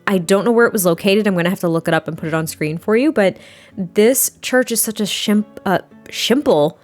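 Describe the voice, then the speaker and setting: A young adult woman with a slightly hoarse, rough voice, chatting casually and rambling through a voiceover, sounding a little tired. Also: medium pitch, brisk, a little mellow, faint music behind. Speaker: Sims speed build creator.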